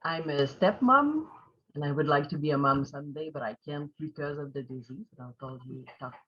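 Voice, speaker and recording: A woman's voice: low voice, expressive, fairly quick, husky, dull audio. French Canadian woman in her thirties. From Quebec, Canada. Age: 30s. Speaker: guest. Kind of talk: casual chat.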